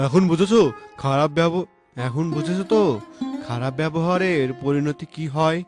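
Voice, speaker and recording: A fairly high-pitched village husband acted by a man from a cartoon. He is earnest and weary, gently scolding as he repeats himself. Music plays clearly behind him. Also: natural voice. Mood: sad reproach. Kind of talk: cartoon voice acting.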